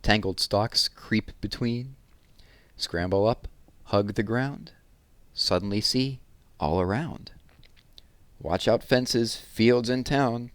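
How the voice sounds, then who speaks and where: A man with a low voice hosts a children's read-aloud in a close, dry recording. He gives verse an expressive delivery and talks to young listeners in simple, casual terms. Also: slow pace, no echo. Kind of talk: reading children's poems aloud with casual asides.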